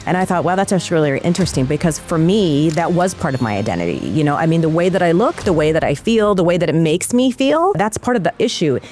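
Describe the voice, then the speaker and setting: A woman's voice: fairly low pitch, animated, quick pace, hardly any pauses, a little mellow, faint music behind. A woman co-hosting a podcast, speaking with enthusiasm and frequent affirming interjections. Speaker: podcast co-host. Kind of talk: interview conversation.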